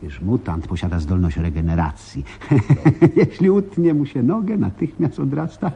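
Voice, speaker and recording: An actor in a radio play voicing a menacing mutant posing as a police deputy, taunting and deliberate. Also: male voice, mid-range voice, animated, creaky, warm tone, breathy edge, heavily acted. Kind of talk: Polish radio play acting.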